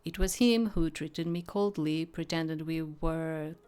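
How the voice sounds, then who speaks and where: A woman reads a visual novel aloud at an unhurried pace, in a slightly low voice. Her delivery is that of dramatic narration with casual asides, and game music plays clearly behind her. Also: steady tone, a little mellow. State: voice starting to tire. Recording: background music.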